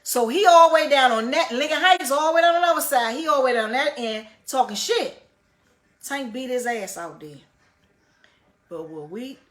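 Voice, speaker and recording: Woman, loud voice, fairly high pitch, profane animated storyteller, casual vlog delivery. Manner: repeats phrases for emphasis. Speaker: vlogger telling a personal story.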